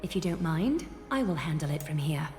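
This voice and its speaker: Solemn noble lady, low-pitched. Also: sing-song. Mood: composed, grave. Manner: formal, measured, composed. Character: solemn, guarded noble lady, burdened and stern. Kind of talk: video game voice acting. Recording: loud music under the voice.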